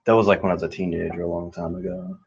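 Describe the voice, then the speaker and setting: A man's voice: low voice, level tone, rapid-fire, gravelly, husky. A young man chatting casually as a player, rambling and unsure, full of "like". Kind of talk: casual chat. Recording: dull lo-fi sound.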